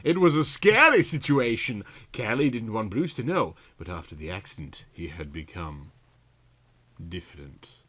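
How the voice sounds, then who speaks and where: Animated, bright-voiced man narrating theatrically. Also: fairly low pitch, moderate pace, husky. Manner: theatrical, exaggerated delivery. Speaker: narrator reading a story aloud. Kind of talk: melodramatic comic audiobook narration.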